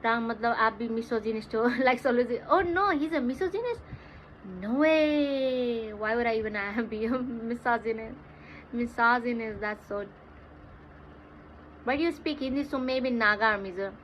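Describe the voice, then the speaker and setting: Dull, lo-fi audio with some noise behind it carries a woman's fairly high voice. She has a Nepali accent and chats casually with her stream viewers in a playful, exclaiming way. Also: normal speed. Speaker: livestream host. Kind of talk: casual livestream chat, mixing English and Hindi. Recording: dull lo-fi livestream audio, clear background noise.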